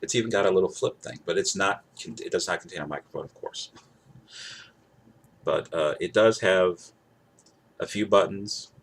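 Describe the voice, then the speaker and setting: Low-voiced man rambling through a gadget demo. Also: moderate pace, pauses often. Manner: repeats himself, rambling, emphatic. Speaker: gadget reviewer. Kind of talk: casual product demonstration to camera. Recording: clear background noise.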